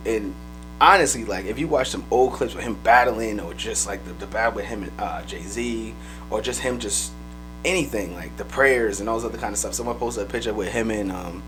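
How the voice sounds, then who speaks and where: A man co-hosting a podcast, telling loose, profane stories in casual chat, his voice a little raspy. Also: on the low side, music in the background, rough recording. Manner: fast, loose, profane storytelling. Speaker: podcast co-host.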